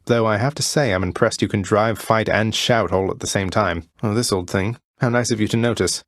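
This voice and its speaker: An actor playing a wry young prince of the underworld in a video game, courteous with a dry, understated sarcasm. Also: male voice, on the low side, fairly quick, no echo, lightly acted. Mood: dry, taunting. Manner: dry, understated, politely sardonic. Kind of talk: video game character voice lines.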